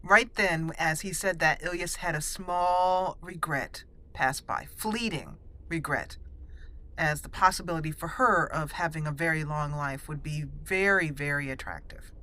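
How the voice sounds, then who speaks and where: A woman's voice: low-pitched, unhurried, bright, forceful tone, a little hiss or hum. A woman narrating a fantasy game roleplay in a thoughtful, earnest way, speaking in character between her explanations.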